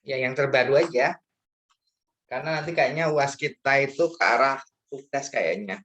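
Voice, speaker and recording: A man's voice: mid-range voice, moderate pace, fairly bright tone, highs cut off. A man lecturing in an informal, chatty way, often checking in with questions during an online class discussion.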